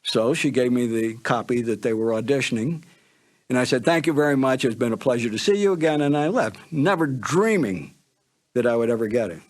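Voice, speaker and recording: Older American male, retired announcer telling anecdotes in a mid-pitched voice. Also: animated, a little fast. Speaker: former radio announcer reminiscing. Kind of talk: reminiscing narration, storytelling.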